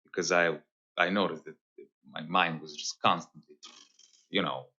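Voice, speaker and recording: Male, 30, Serbian accent. Bright, low-pitched voice, reflective podcast guest who pauses often. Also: fairly even intonation, slightly rough, highs cut off. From Serbia, Novi Sad. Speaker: podcast guest, holistic guide. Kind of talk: casual conversational interview.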